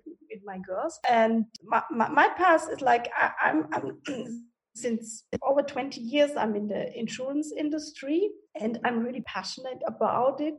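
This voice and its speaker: A forty-year-old woman from Switzerland speaks English as an in a fairly high voice. She talks in a halting way, restarting her sentences and filling gaps with "uh". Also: rough recording. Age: 40s. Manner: halting, many restarts and fillers, non-native phrasing. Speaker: guest, insurance executive. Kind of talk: casual interview chat.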